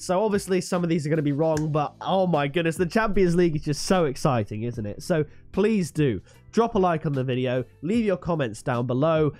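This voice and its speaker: A man's voice: a little high, darkish tone. A man with a stuffy nose from a cold, chatting confidently through his football predictions as the host of his own channel. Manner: chatty, confident, hypes the fixtures. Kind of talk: solo vlog match predictions.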